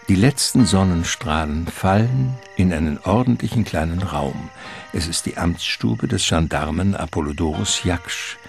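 Male radio drama narrator, deep and very slow, deliberate suspense, music underneath. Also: gravelly.

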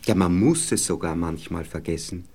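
A man's voice: sits low, expressive, normal speed, warm tone. An actor playing a smug, moralizing husband in a radio play, with an Austrian accent, talking down to his young wife as he gropes for words. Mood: tender, musing. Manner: patronizing, hesitant, groping for words.

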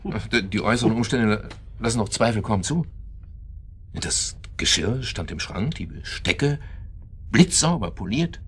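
A bitter man doggedly piecing together a crime in a radio play. His voice is on the low side, and he probes with sardonic questions and halting, repeated words. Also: expressive, a bit bright, a little hiss or hum. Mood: insistent. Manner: probing, sardonic, halting repetitions. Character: dogged, bitter male accuser reconstructing a crime. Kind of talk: radio drama acting.

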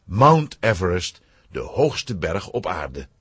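A man narrating a children's adventure story in a scripted, dramatic, expressive style with a husky voice. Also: deep voice, slow, over music.

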